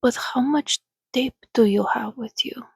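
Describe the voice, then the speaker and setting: Woman softly voicing a hushed, breathy, courtly vampire man. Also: a little high, measured pace, dry recording, heavily acted. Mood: courteous, intent. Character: composed, courteous, commanding male vampire. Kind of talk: soft ASMR storybook reading.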